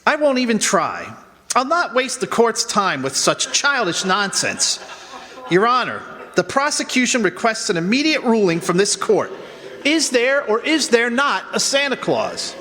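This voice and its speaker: A man plays a pompous, indignant prosecutor in an old-style radio play. The voice is very high and sharp, pressing formally at the court, with the reverb of a hall around it. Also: big pitch swings, unhurried, heavily acted. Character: indignant, pompous prosecuting district attorney, a father. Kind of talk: old-fashioned radio drama acting. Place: live performance on a college campus. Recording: reverberant hall stage recording.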